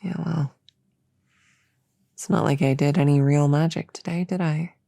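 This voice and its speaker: A woman playing a flustered, earnest young novice witch in an ASMR audio roleplay, soft-spoken and halting, slipping into whispers. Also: low-pitched, fairly even intonation, creaky, dry recording, clean studio sound. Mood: defensive resolve.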